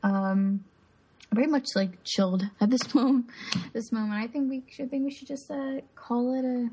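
A woman's voice: on the high side, moderate pace, mellow, slightly rough, rough recording. A woman co-hosting a podcast, chiming in with brief, laid-back remarks. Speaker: podcast co-host. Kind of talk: casual chat.

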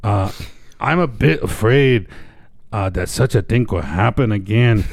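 Halting man reading news aloud. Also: on the low side, vocal fry, no room sound. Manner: halting, stumbles while reading. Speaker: podcast co-host.

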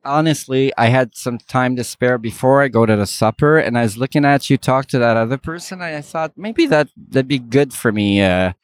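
A man from Montreal chatting casually and candidly, halting as he searches for words, with a jokey, self-deprecating manner. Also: medium pitch, lively intonation, no echo. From Montreal, Canada. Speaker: member of the public being interviewed.

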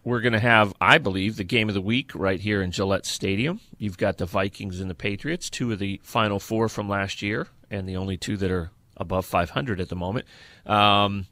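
A man's voice: on the low side, moderate pace, pushed and bright, dry recording. A man co-hosting a sports podcast, talking football in a casual, conversational way.

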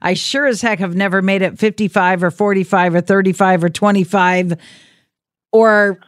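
A woman hosting a call-in radio show, chatting gently and playfully with a caller. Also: medium pitch, fairly even intonation, moderate pace, no room sound, studio quality. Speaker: radio host. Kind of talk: late-night radio call-in chat. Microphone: studio mic.

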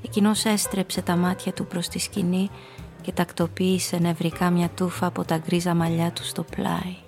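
A female actor plays an observant woman telling her own story in a radio play. Her delivery is measured and atmospheric, with even, restrained intonation over clear background music. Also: on the low side, close to an everyday voice. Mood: wry, observant. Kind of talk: scripted first-person literary narration.

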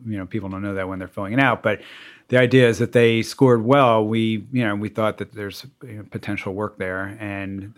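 Male lawyer guest, low-ish pitch, casual, rambling delivery. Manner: rambling, full of "you know" and restarts. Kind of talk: casual interview talk.

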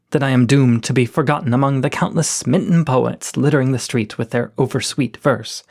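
Shy coyote suitor played by a man, mid-range voice, soft-spoken and self-effacing. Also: mid-range voice, gravelly. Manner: gentle, eloquent, self-effacing. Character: shy, poetic adult male coyote suitor. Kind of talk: scripted reading of letters.

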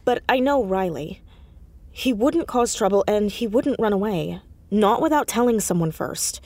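A woman playing an anxious, earnest high school girl in an audio drama, hesitant but insistent as she talks. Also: lively intonation, measured pace, dark tone, dry recording. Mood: earnest, halting. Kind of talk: naturalistic audio drama acting.

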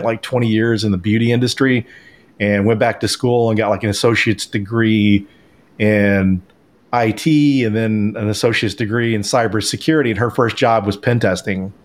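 A man hosting an interview podcast, talking in an encouraging conversational way. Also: on the low side. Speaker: podcast host.

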